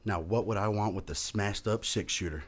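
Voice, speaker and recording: Surly gunman, played by a man: deep American voice, curt and defiant, old-time radio western. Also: a little fast, lightly acted.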